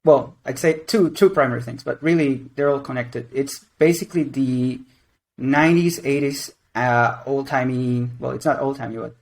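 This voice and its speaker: Young game director, man in his twenties, rambling casual interview answers, mid-pitched voice. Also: moderate pace, gravelly. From Venezuela and Mexico. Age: 20s. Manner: rambling, many restarts and fillers.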